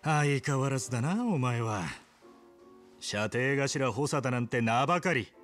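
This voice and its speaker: An actor playing a brash, ambitious young yakuza in a video game cutscene, with rough, blunt, goading speech. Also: male voice, mid-range voice, expressive, a little slow, fairly bright tone, faint music behind. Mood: pushy, impassioned.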